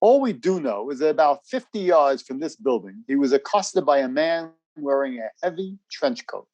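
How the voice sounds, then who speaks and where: An actor playing a brisk, guarded, courteous army major in a radio drama, with a formal, clipped, official way of speaking. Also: male voice, medium pitch, big pitch swings, darkish tone, highs cut off. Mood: grave, matter-of-fact.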